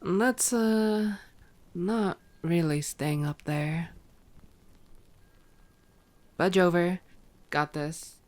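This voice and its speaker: A playful vampire woman, acted out by a female performer in an ASMR audio roleplay. Her voice sits a little on the low side. She is sardonic and flirty, bantering with cocky, teasing hunger. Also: steady tone, drawn-out words, pauses often, a little mellow. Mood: wry banter.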